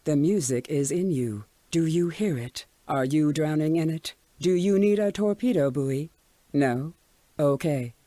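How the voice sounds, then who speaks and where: An actress playing an eerily cheerful radio station announcer, reading ads and promos with smooth, assured salesmanship. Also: female voice, low-pitched, measured pace, dark tone, no echo. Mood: eerily soothing.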